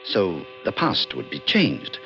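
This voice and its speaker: An actor in an old radio drama playing a polite, serious alien visitor who speaks in a measured, precise, mildly condescending way. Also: male voice, sits low, wildly swooping pitch, sharp tone, heavily acted. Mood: patient, explaining. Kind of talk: 1940s-50s radio drama acting. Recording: muffled vintage radio recording, faint music.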